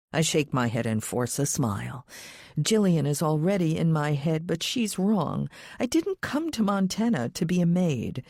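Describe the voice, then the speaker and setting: A low-pitched woman narrates a thriller audiobook in the first person. The sound is dry, with very little room around her scripted reading. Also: warm tone, no echo.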